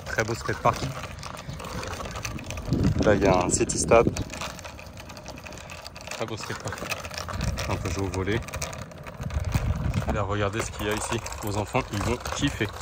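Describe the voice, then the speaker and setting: A man's voice: lowish voice, fairly even intonation, normal speed, pauses often. A man, a coach and nutritionist, vlogging casually to his viewers while riding a kick scooter through city parks and streets, talking straight to camera with plenty of fillers. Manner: chatty, addresses viewers directly, fillers and asides. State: strained from exertion. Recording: noisy outdoor street sound. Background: outdoor city ambience.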